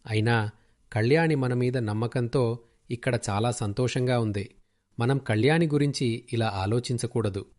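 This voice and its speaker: A man's voice: medium pitch, moderate pace, darkish tone, natural voice. A man playing a principled village husband in a children's moral cartoon, reasoning earnestly. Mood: taken aback, firm.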